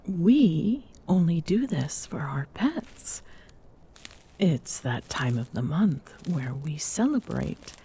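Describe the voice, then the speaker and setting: A woman playing a tall, smitten Amazon queen in an intimate ASMR romance roleplay, teasing and commanding, halting at times and often dropping into whispers. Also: sits low, warm tone, slightly rough, heavily acted.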